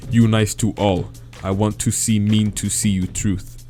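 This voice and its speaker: A man voicing a big, simple, creepy gray male monster who speaks in broken, halting English. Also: on the low side, faint music behind, lightly acted. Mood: blunt. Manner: broken halting English, short flat sentences.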